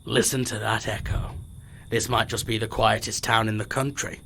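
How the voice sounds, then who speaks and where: A man's voice: fairly low pitch, flat delivery, moderate pace, creaky, pushed and bright, slight background noise. A man playing a cocky, sardonic, self-appointed team leader in an audio drama, wry and self-assured. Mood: hushed, concerned.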